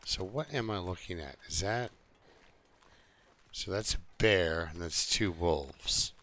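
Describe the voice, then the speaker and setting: A man's voice: sits low, animated, slow, pushed and bright. A man live streaming a video game, chatting casually and jokingly to his viewers in an easygoing, rambling way.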